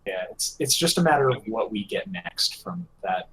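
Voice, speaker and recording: A man's voice: lowish voice, animated, moderate pace, fairly bright tone, a little hiss or hum, low-quality recording. A man chatting casually as a panelist on a hobby podcast.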